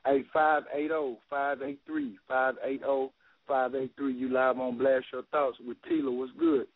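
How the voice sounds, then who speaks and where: A man's voice: medium pitch, level tone, measured pace, no echo. A man casually hosting a call-in radio show in informal hip-hop slang. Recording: dry, phone-like lo-fi radio audio.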